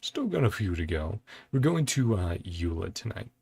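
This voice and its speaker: A man's voice: low voice, sing-song, moderate pace, gravelly, a little mellow, slightly rough. A man giving casual reaction commentary, thinking aloud in short, hesitant fragments over music. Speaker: host of a reaction and ranking video. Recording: music playing behind the voice. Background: game trailer music.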